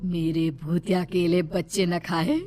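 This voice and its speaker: A woman voicing a vengeful female witch in a cartoon, gloating and cackling with slow, drawn-out threats. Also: fairly low pitch, flat delivery, mellow, distant mic, soft background music. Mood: menacing gloat. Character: vengeful female witch stealing village children. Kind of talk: cartoon voice acting.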